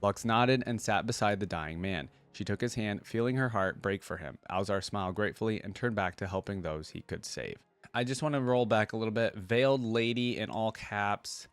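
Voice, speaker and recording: Low-voiced man reading and reacting. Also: normal speed, smooth, no room sound. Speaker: reaction video host. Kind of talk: reading lore aloud with casual commentary.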